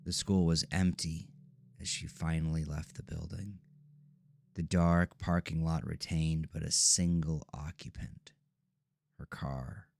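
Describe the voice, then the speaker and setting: A man reading scripted horror audio drama narration in a measured, ominous storytelling manner. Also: a bass voice, level tone, vocal fry, darkish tone, no echo.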